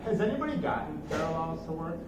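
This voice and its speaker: A man's voice: fairly high pitch, sing-song, quick pace. A man speaking casually about technical matters like an instructor, repeating and rephrasing himself as he goes. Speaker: course instructor. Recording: noisy echoey room, far from mic.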